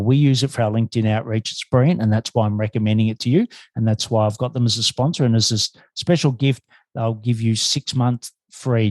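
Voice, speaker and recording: A man's voice: medium pitch, no echo. A man hosting a podcast and interviewing in a conversational way.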